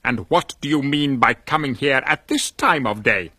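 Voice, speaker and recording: A man's voice: mid-range voice, animated, normal speed, pushed and bright, husky, no room sound, studio quality. A man voicing an elderly male miser turned kindly, jovial, teasing and sometimes stammering. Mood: mock-gruff.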